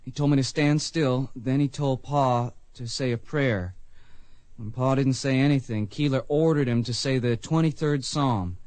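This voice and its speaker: A man's voice: medium pitch, moderate pace, a little mellow, no room sound, lightly acted. An actor playing an earnest, plain-spoken blind young man in a western radio drama. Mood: sorrowful, earnest. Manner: plain-spoken, polite, emotional. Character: earnest blind youth of the Old West, grieving son.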